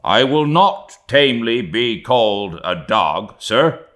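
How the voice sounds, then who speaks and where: A man voicing an indignant, bewildered sailor, a second mate in a sea novel, with an excitable, colloquial, muttering way of talking. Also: lowish voice, takes it slowly, voice pushed up, full character voice.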